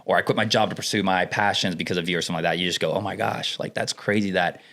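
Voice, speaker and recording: Expressive young man from the Dominican Republic. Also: low voice, rapid-fire. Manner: enthusiastic, expressive storyteller. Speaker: podcast co-host. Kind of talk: casual conversation.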